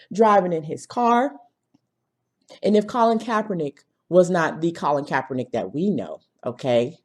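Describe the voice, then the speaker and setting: Low-pitched, blunt woman, slightly hoarse. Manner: blunt, emphatic, punctuating points with tag questions. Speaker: video commentator. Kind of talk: casual opinion commentary.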